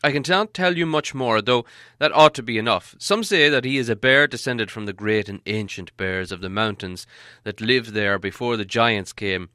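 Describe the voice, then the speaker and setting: A man's voice: fairly low pitch, normal speed, bright, forceful tone, no echo, studio quality. A man voicing a fussy, stern old male wizard who lectures and warns crossly. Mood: explaining.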